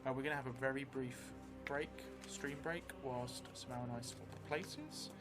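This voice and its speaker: A man in his thirties hosting a livestreamed tabletop role-play game, speaking in a measured, reflective way. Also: medium pitch. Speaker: solo role-play game player and stream host. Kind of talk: livestream tabletop storytelling and chat. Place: indoors, small stream set at a table. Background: music.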